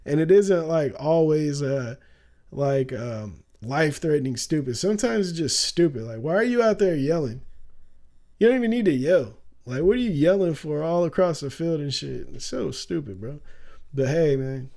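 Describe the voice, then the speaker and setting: A young man talks casually on a stream, loose and full of slang, laughing easily. His voice sits a little high but sounds warm and mellow, with a faint rasp. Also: animated, normal speed. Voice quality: raspy at times. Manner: loose, slangy, laughs often, says "bro" a lot. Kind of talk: casual livestream commentary.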